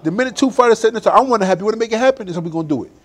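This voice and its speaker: A man's voice: sits high, expressive, racing. A young adult man, a boxer, talking animatedly and emphatically in a casual interview, laughing often.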